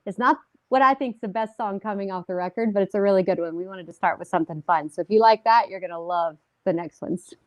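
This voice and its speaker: Woman singer guest, chatty and upbeat, mid-pitched voice, dry close recording. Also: quick pace, no echo. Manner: chatty, upbeat, with many fillers. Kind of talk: casual interview chat.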